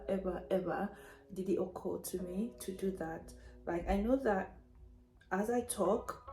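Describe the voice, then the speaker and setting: A woman's voice: on the low side, warm tone. A young woman with a Nigerian accent, chatting casually through a personal story, self-mocking and laughing often. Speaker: vlogger telling a story. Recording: echoey room, faint music behind.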